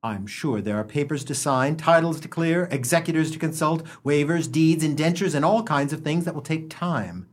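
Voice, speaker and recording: A man playing a cautious, wryly exasperated husband in a humorous radio play, speaking in a measured, dry way. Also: fairly high pitch, lightly acted. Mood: cautious reasoning. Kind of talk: humorous stage-style radio play acting.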